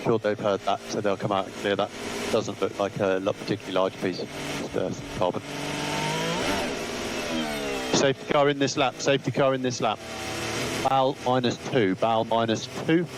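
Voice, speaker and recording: A deep-voiced man speaks over motor racing team radio with very loud vehicle noise around him. He is a race engineer, giving clipped instructions and saying important calls twice. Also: little pitch movement, a little fast. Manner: terse, repeats key instructions. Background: loud car and vehicle noise.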